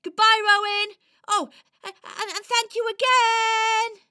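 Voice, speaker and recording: A woman's voice: very high voice, flat delivery, slow pace, pushed and bright, no echo, studio quality, pitched above the performer's own voice, exaggerated voice. A woman playing a timid, earnest young female hero who is trying to be brave, hesitant and wondering, in a children's radio play. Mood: grateful.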